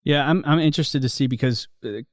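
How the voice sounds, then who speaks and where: A man's voice: medium pitch, quick pace, dry recording. An easygoing man with a mid-range voice hosts a podcast. He talks in a friendly way, restarting his phrases as he thinks, recorded close and dry. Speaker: podcast host. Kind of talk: casual podcast chat.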